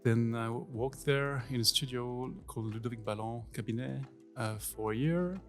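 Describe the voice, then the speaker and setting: A Swiss man in his forties talks through his answers with lots of "uh"s and "um"s and slightly non-native phrasing. His pitch sits at an ordinary middle height. Soft music plays faintly underneath. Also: moderate pace. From Switzerland. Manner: hesitant, many fillers, non-native phrasing. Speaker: guest workshop teacher. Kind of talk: casual interview.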